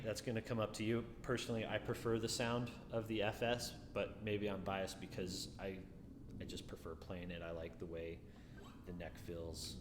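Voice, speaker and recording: Deep-voiced man, echoey room. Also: background noise. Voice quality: deeper voice. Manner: relaxed, musing, repeats words. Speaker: guitar reviewer. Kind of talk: casual product review.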